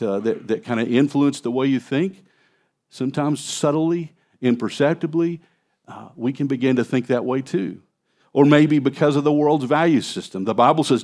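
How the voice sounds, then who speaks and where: A man in his fifties, a pastor preaching an expository sermon in a conversational way from a church stage, on a headset mic. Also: mid-range voice. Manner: conversational preaching, animated gestures at a lectern. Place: church stage, large indoor hall.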